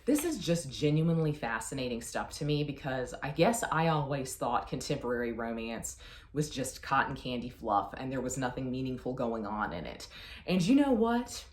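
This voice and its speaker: A woman's voice: very deep voice, very animated, normal speed, darkish tone, a little hiss or hum. A woman chatting casually as a book reviewer, effusive and emphatic with a playfully self-deprecating manner. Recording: roomy, echoey sound.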